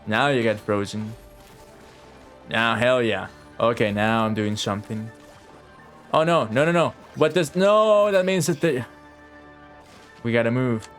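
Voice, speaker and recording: A man giving casual live commentary as a game streamer, reacting out loud and swearing freely. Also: mid-range voice, unhurried, long pauses. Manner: profane, reactive, talks to game and viewers. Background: game music.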